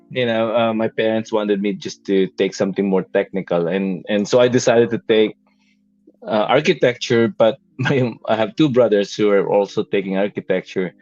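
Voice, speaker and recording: A man's voice: medium pitch, darkish tone, dry recording. Filipino man in his fifties, dull lo-fi. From Philippines. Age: 50s. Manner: hesitant, many fillers and restarts, easy laughs. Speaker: guest, animation artist. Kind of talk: casual interview answers. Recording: dull lo-fi dry sound.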